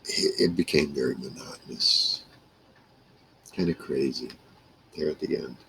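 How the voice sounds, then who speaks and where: A man in his sixties with a gravelly, husky voice, telling rambling, salty stories in a casual monologue to camera. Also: a bass voice, a little slow, sharp tone, a little hiss or hum, poor audio. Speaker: vlogger. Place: small wood-paneled room indoors.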